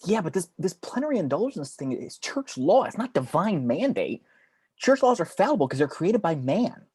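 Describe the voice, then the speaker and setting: A man playing a brash, sardonic fallen angel in a script table read, arguing excitably with some stammering. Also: high-pitched, normal speed, slightly rough, heavily acted.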